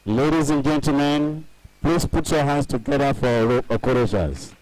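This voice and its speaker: A man acting as master of ceremonies, announcing in a halting way. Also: mid-range voice, a little mellow, slight background noise, poor audio.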